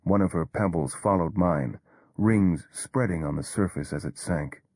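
A man narrating a story in the first person with a measured, understated delivery. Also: low voice, darkish tone, dry recording, studio quality.

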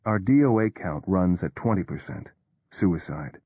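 A man with a deep, husky voice narrates a story in the first person. His delivery is measured and understated, never overplayed. The recording is close and dry. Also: animated, dark tone, no echo.

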